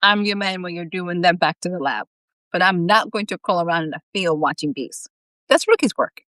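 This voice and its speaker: A woman's voice: on the low side, lively intonation, moderate pace, fairly bright tone, dry recording, poor audio. A woman voicing a senior male scientist in a storybook, teasing and nagging a junior colleague with lazy condescension. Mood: teasing, dismissive.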